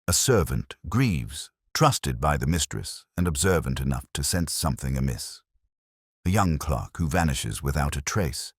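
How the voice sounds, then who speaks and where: A man's voice: rumbling low, expressive, measured pace, breathy edge, dry recording, studio quality, full character voice. A man voicing a keen, commanding detective in an audiobook, precise, formal and theatrical in his phrasing. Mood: brooding, deliberate.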